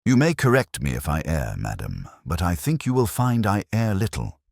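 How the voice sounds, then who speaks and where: A man voicing a grave, confident gentleman detective in a storybook, with measured, formal, authoritative speech. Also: deep voice, wildly swooping pitch, creaky, dry recording, clean studio sound, voice pushed up, heavily acted. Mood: assured, explanatory. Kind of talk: audiobook character dialogue.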